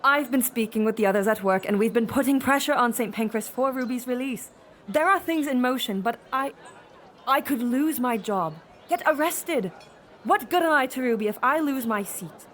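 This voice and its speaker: Cautious, high-voiced woman, as acted. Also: normal speed, fairly bright tone, close to an everyday voice. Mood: worried reasoning. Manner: hesitant, anxious, proper. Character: cautious, worried, responsible woman on a board. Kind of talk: audio drama acting.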